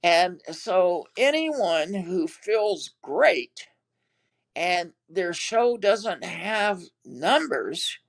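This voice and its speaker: A man's voice: high-pitched, sing-song, drawn-out words, fairly bright tone. An older man with a Texas accent, a former TV producer, recalling his career in an interview with many fillers and restarts. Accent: Texan. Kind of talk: reflective interview talk.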